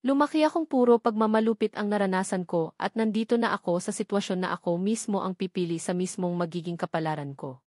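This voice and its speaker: A woman's voice: mid-range voice, quick pace, darkish tone, no room sound, studio quality. A woman reading a romance novel aloud as a scripted first-person narration. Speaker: audiobook narrator.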